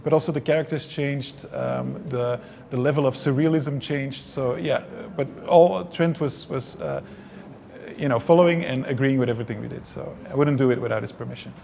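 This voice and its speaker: Man, gravelly voice, from Belgium, Dutch native speaker, stop-start interview answers. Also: medium pitch, moderate pace, darkish tone, slight background noise. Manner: hesitant, many restarts and fillers. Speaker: film director.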